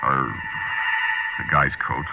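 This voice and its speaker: An actor playing a hard-boiled American small-time crook, narrating colloquially and confidingly in a 1940s radio drama. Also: male voice, rumbling low, flat delivery, takes it slowly, gravelly, loud music behind the voice, exaggerated voice. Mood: brooding, uneasy. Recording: dull 1940s radio broadcast recording.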